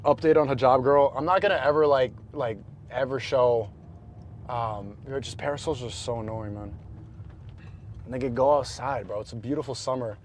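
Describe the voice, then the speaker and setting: A man's voice: medium pitch, normal speed, a little hiss or hum. A man from New York livestreaming on city streets at night, chatting loosely with his viewers and with strangers he meets. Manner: loose, slangy, talks to chat and strangers. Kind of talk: casual street livestream chat.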